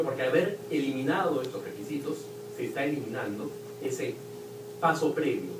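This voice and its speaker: A man with a Peruvian accent speaking on a university panel, explaining points with examples and occasional restarts. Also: on the high side. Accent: Peruvian Spanish. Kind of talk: academic panel talk. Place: lecture hall at a university event. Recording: roomy, distant hall recording with noise.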